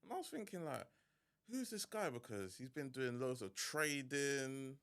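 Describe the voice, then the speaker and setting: A man hosting a casual podcast, talking in a relaxed, slang-heavy way. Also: medium pitch, measured pace, smooth. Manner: laid-back slang-heavy chat, hype intro. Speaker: podcast host.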